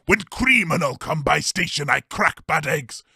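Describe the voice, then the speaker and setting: Blunt, hungry creature with broken English; gravelly, raspy, a touch high. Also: male voice, animated, unhurried, bright, forceful tone, no room sound, clean studio sound, full character voice. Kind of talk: comedy radio-play acting.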